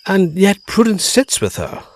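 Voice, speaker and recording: An actor playing a bold, curious gentleman friend in a period ghost story, theatrical, old-fashioned and inquisitive. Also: high voice, wildly swooping pitch, a little slow, dry recording, full character voice. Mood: intrigued, bold. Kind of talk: period ghost story audio drama acting.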